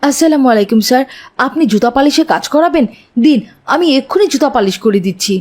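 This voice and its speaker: A woman's voice: sits high, animated, fast talker, a little mellow. A woman voicing a poor, polite, hardworking schoolboy in a children's moral cartoon, earnest and plaintive. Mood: polite, eager.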